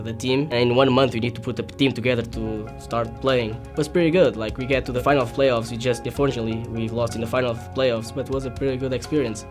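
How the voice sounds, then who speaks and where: A young man from Portugal with a Portuguese accent, a business student telling his story enthusiastically in imperfect English. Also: medium pitch. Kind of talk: personal testimonial. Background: background music.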